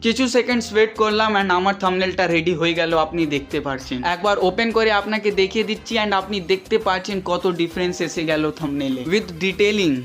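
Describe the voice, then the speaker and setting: A man presenting a step-by-step software tutorial in voice-over, mixing English words into his speech. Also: high voice, quick pace, hardly any pauses. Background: background music.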